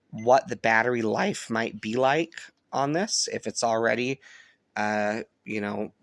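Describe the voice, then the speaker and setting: A man's voice: medium pitch, fairly bright tone, no room sound, studio quality. A man giving a casual, unscripted product review as a vlogger, thinking aloud with some hesitation.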